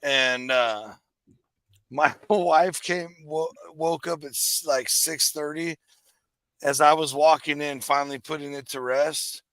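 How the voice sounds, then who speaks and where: A man's voice: fairly high pitch, takes it slowly, fairly bright tone, dry recording. A man co-hosting a casual chat, telling crude stories in a brash way. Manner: brash, crude storyteller, laughs.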